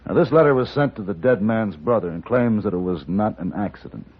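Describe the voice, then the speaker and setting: An actor playing a cool, courteous, steely gun-for-hire in a 1950s Western radio drama, speaking in a measured, dry, formal way. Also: male voice, lowish voice, lively intonation, a little hiss or hum, close to an everyday voice. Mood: cool, firm. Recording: muffled vintage radio recording.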